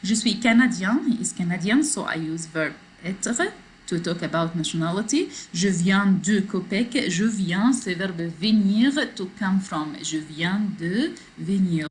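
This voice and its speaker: Slow, patient woman teaching French. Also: fairly low pitch, slight background noise. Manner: switches between French and English, slow, repeating phrases for learners. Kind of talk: online class, reading a text and explaining it. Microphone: computer mic in a video class.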